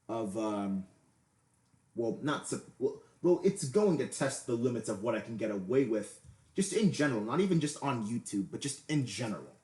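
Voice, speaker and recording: A man's voice: mid-range voice, darkish tone. A man giving an unscripted, rambling explanation, hesitant and often stammering over repeated words, as an amateur creator talking to viewers. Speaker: amateur animation creator and video host. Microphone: computer microphone. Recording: roomy, echoey home PC recording.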